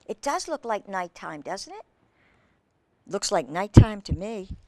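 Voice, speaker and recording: A woman's voice: mid-range voice, sing-song, a little slow, background noise. A woman reading a picture book aloud to children, expressively, stopping to ask them questions and exclaim over the pictures. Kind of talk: expressive read-aloud with asides to young listeners.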